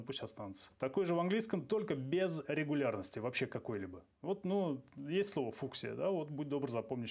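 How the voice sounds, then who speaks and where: A man on a podcast, explaining spelling and language history in a quick, lecturing way with slangy asides. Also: mid-range voice, gravelly. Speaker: podcast guest, language blogger. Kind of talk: casual explanatory chat.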